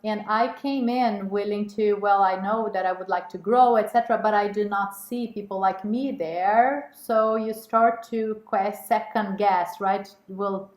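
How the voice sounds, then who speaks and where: A woman's voice: mid-range voice, steady tone, measured pace, hardly any pauses, a little mellow. A woman guest, a corporate managing director, talking in an interview with frequent restarts and repeated words. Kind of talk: interview conversation. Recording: roomy echo.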